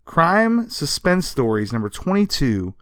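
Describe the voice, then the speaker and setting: A man's voice: on the high side, very animated, a little slow, fairly bright tone, dry recording. Man telling history, close dry sound. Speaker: podcast co-host. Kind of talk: casual storytelling of comics history.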